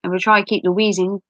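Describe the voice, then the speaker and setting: Woman from the UK, sick and wheezy, rambling livestream chat, bright voice, dry dull lo-fi mic. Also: mid-range voice, no echo. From United Kingdom. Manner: rambling, self-mocking jokes, many ums and throat clearing. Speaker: livestream host. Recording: dull lo-fi sound.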